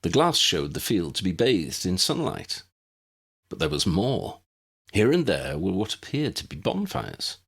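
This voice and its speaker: Gravelly, expressive male storyteller. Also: low-pitched, unhurried. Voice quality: gravelly, husky. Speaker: audiobook narrator. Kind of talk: expressive scripted story narration.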